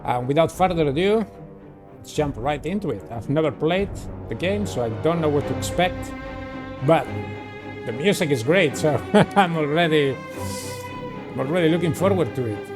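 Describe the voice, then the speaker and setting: A man's voice: on the high side, lively intonation, normal speed, over music. A man in his thirties or forties hosting a video game playthrough, giving commentary and reading text aloud. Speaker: gaming channel host.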